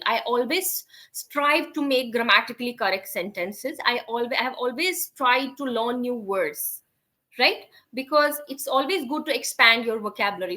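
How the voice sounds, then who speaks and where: A woman's voice: a little high, bright, forceful tone. A woman with an Indian English accent, an English teacher, answering interview questions in an explanatory way and often checking in with "right?".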